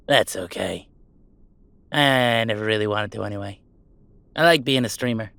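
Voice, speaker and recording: A man's voice: on the low side, unhurried, creaky, fairly bright tone, no room sound. A man voicing a playful, self-deprecating werewolf streamer in a one-sided roleplay audio drama, full of teasing banter and dramatic sarcasm. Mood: easygoing. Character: wisecracking young male werewolf streamer, affectionate and self-deprecating.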